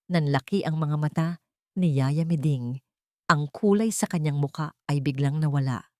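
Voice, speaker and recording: A woman tells a melodramatic story as a scripted narrator. Her voice is a little low in pitch. The recording is very dry and close, made as a voice-over. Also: moderate pace, warm tone, no echo.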